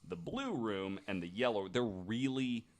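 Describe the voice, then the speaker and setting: A man from the United States hosting a podcast, telling stories in a casual, halting, conversational way. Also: lowish voice, lively intonation. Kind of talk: casual storytelling chat.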